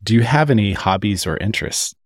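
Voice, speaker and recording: Very deep-voiced woman interviewer. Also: lively intonation, fairly quick, husky, dry recording, clean studio sound. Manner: curious, short backchannels. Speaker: podcast host. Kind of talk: interview questions.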